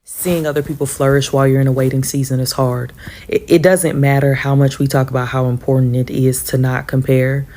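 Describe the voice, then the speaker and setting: Very deep, flat-voiced calm woman. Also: fairly quick, a little hiss or hum. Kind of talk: reflective motivational talk.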